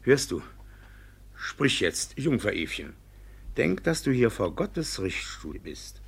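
An actor playing a sly, flustered village judge in a classic radio play, blustering, hesitant and evasive. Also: male voice, mid-range voice, big pitch swings, slow, creaky, slightly rough, exaggerated voice. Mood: coaxing, menacing.

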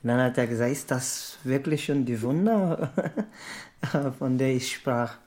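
Slow, hesitant woman. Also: a bass voice, darkish tone. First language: not German. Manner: hesitant, searching for words. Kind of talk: halting spoken retelling of a film story.

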